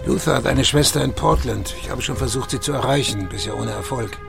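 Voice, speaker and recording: A gruff police sergeant in a radio crime drama. The voice is extremely gravelly at a middling pitch, blunt and businesslike, with music underneath. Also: male voice, brisk. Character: gruff, direct male police sergeant investigating a case.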